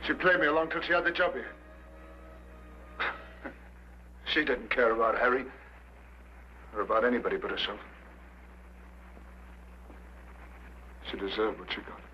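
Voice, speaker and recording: An actor playing a nervous, jealous male suspect in a vintage detective drama, defensive and halting in his speech. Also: medium pitch, slight background noise, lightly acted. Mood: bitter. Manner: halting, stammering. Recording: dull old broadcast recording.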